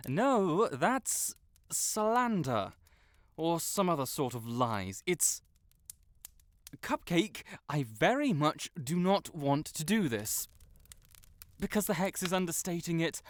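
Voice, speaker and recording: A man's voice: on the high side, no echo. A man playing a proud, lovestruck wizard in a romantic audio roleplay, haughty but stammering, blurting things out and backtracking. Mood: nervous, evasive. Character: proud, flustered tsundere wizard secretly in love.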